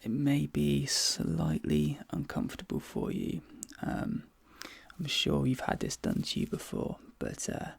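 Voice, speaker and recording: A man softly whispering and murmuring in a soothing, reassuring ASMR dentist roleplay, with breathy delivery and hesitant pauses, close to the microphone. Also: mid-range voice, huge pitch swings, no echo. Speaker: ASMR performer role-playing a dentist. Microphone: close microphone, dry.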